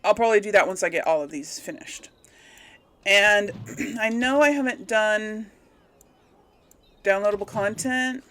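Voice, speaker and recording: A woman's voice: medium pitch, unhurried, pauses often, fairly bright tone. A woman hosting a let's play, talking informally to her viewers and thinking aloud as she goes. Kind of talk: casual gaming commentary to viewers.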